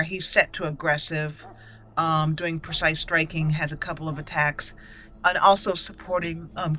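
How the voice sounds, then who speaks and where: A woman's voice: fairly low pitch, sharp tone, slight background noise. A woman hosting a casual game walkthrough, thinking aloud in short fragments as she plays. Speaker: gaming channel host.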